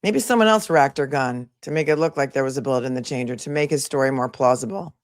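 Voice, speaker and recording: Low-pitched woman giving skeptical live commentary. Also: fast, dry recording, clean studio sound. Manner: opinionated, skeptical, conversational asides. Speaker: legal commentary livestream host. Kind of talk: casual live trial commentary.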